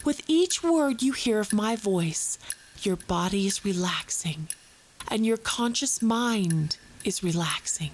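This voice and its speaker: A woman plays a calm female psychologist with a slow, measured delivery. She coaxes and soothes like a hypnotist, controlled and repetitive, in an audio drama. It all sits on an old cassette recording with clear hum and noise. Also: mid-range voice, low-quality recording. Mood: hypnotic. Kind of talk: audio drama therapy session.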